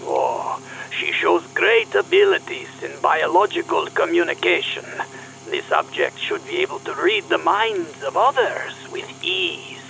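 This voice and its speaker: Eccentric KGB scientist, radio drama, slow, high and sharp, faint music behind. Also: male voice, wildly swooping pitch, creaky, slight background noise, heavily acted, poor audio. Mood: smug, eager. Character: eccentric Soviet KGB scientist, pleased with his test subject.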